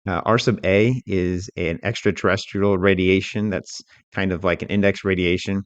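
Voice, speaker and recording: A man giving an explanatory software tutorial as an instructor, talking through it step by step in a measured, unscripted way. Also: deep voice, fairly even intonation, dry recording, lo-fi sound.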